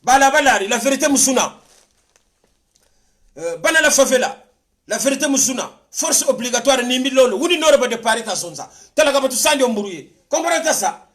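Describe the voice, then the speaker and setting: A man's voice: very high voice, lively intonation, fairly quick, a bit bright. A man with a Congolese accent giving an impassioned political monologue, insistent and repetitive, switching between languages. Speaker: political commentator.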